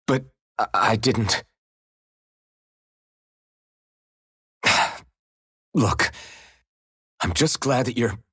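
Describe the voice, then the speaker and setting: Earnest, slow-spoken young hero. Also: male voice, mid-range voice, a bit bright, slightly rough, no room sound, heavily acted. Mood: flustered. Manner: halting, earnest. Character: earnest young male swordsman hero, protective and anxious. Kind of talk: video game cutscene voice acting.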